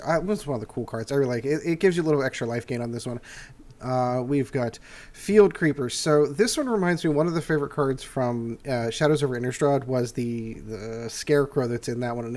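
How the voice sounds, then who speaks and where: A man's voice: mid-range voice, darkish tone. An older man hosting a casual card game review, nasal and congested from a cold, rambling through his points with frequent "uh"s and restarts. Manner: rambling with many "uh" fillers and restarts. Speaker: host.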